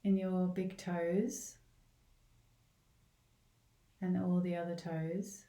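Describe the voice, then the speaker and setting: A woman leading a guided body scan meditation in a gentle, unhurried way, leaving long pauses between short phrases. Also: fairly low pitch, little pitch movement. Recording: roomy, echoing, distant from the mic.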